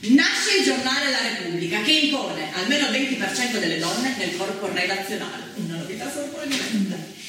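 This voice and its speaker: Actress as woman telling stories in a stage monologue, far from the mic, clear background noise. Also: on the high side, expressive, a little fast, natural voice. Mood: narrating. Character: female stage storyteller recounting women's lives. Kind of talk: theatrical storytelling monologue. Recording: distant, very echoey, noisy stage recording.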